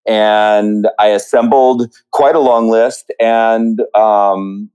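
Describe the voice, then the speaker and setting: A man answering as an interview guest, reflective and hesitant, often halting as he thinks. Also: on the low side, steady tone, darkish tone, clear, resonant tone. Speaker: interview guest, musical book writer.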